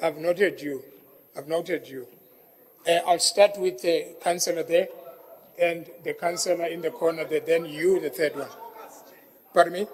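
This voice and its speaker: A man's voice: fairly high pitch, steady tone, measured pace, pauses often, long reverb tail. A South African man chairing a council meeting, repeating himself as he calls councillors to order. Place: council chamber.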